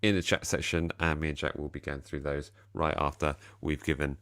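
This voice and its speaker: A man's voice: rumbling low, level tone, fairly quick, fairly bright tone, no echo, clean studio sound. A tired man hosting a casual football chat, rambling and self-deprecating. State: tired, slightly deeper voice than usual. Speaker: podcast host.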